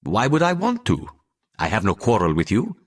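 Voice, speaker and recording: Husky, principled monk. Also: male voice, lowish voice, huge pitch swings, normal speed, heavily acted. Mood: calm. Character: even-tempered, principled monk man.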